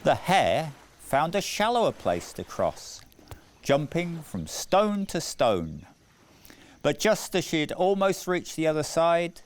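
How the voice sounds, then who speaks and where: A man narrating a scripted children's story in a measured, deliberate way with dramatic phrasing. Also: medium pitch. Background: music.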